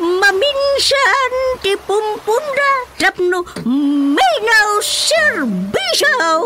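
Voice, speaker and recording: A woman's voice: extremely high pitch, heavily acted. A woman playing a chatty mother with a third eye in a radio comedy, in a sing-song, dramatic way of talking. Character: middle-aged mother who sees with a third eye. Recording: music under the dialogue.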